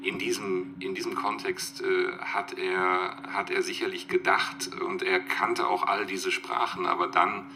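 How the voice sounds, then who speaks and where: A man talking reflectively off the cuff, halting and searching for his words. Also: sits low, level tone. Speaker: interviewed commentator. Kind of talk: spontaneous reflective talk. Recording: clear humming background noise.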